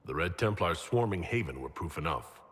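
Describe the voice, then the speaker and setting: A man playing a calm, considerate leader in a video game, with a lightly wry manner. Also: low-pitched, moderate pace, slightly rough, in a large hall, exaggerated voice. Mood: calm, resolute. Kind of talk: video game character dialogue.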